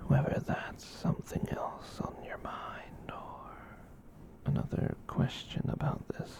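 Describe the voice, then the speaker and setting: A performer voicing a gentle, soft-hearted giant catboy in a breathy whispered ASMR comfort roleplay, tender and hesitant as they ramble. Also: slow, natural voice. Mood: tender, reassuring.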